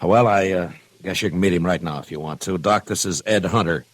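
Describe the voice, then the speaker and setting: Old west marshal, american, very low voice, plainspoken and grave, dry old radio drama sound. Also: male voice, a little fast, dry recording. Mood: businesslike. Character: steady, conscientious Old West frontier marshal. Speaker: radio actor. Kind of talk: vintage radio western acting. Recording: vintage radio recording, dry studio sound.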